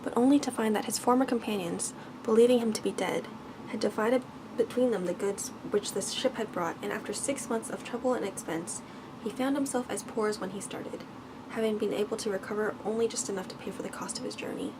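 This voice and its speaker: A soft-spoken woman reads a bedtime story in a gentle, careful ASMR style, often dropping toward a whisper. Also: medium pitch, a little fast, noisy background. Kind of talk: ASMR bedtime story reading, scripted narration.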